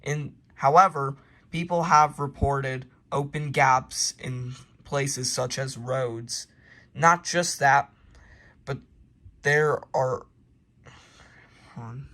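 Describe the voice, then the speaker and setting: Halting man reading homemade emergency bulletins. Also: medium pitch, sharp tone. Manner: halting, informal, self-correcting. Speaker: narrator of a homemade emergency alert scenario. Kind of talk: amateur mock emergency broadcast reading with casual asides.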